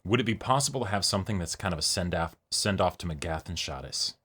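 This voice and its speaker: A man with a slightly low voice and a bright, forceful edge talks as co-host of a reaction show. His delivery is casual and enthusiastic, with the odd swear word. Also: expressive, quick pace. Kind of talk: casual episode review chat.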